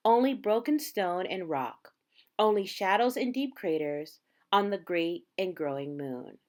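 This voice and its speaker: A woman reading a children's picture book aloud, with measured, dramatic phrasing and drawn-out words. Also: medium pitch. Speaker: storybook reader.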